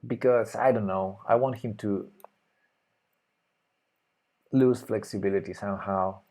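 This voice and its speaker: A man's voice: on the low side, steady tone, unhurried, a little mellow. A man with a Spanish accent streaming live chess, thinking aloud and hesitantly muttering his moves. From Spain. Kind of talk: live chess commentary while playing.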